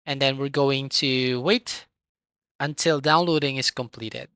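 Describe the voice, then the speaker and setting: Tutorial host, bright forceful voice, instructional step-by-step narration, close mic, dry recording. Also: mid-range voice, steady tone, measured pace, smooth, no echo.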